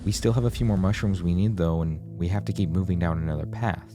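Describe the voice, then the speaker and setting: Deep-voiced man narrating a horror story. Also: quick pace. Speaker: video essay narrator. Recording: music behind the voice.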